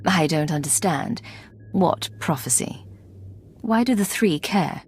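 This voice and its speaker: An actress playing a composed, resolute secret agent in a video game, speaking in a measured, direct way. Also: female voice, lowish voice, lively intonation, faint music behind. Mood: questioning. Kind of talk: dramatic video game acting.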